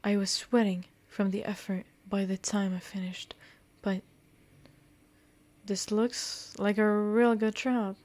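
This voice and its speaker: A woman's voice: medium pitch, flat delivery, takes it slowly, darkish tone. A woman reading a visual novel aloud as a game streamer, stumbling over words and breaking off into blunt, playful commentary. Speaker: game streamer doing a walkthrough.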